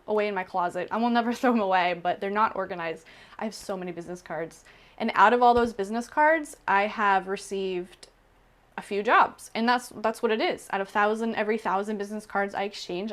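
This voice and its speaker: Chatty, candid woman vlogger. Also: medium pitch. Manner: chatty, frequent "um" and "like", candid and emphatic. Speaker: entrepreneur in Okinawa. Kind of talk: casual personal talk to camera.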